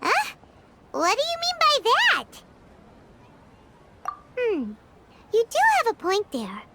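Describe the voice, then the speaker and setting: A woman's voice: very high voice, slow, very noisy, exaggerated voice. A woman voicing a small, chirpy, nosy fairy companion in a video game, very expressively. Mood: musing, surprised. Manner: refers to self in third person, expressive.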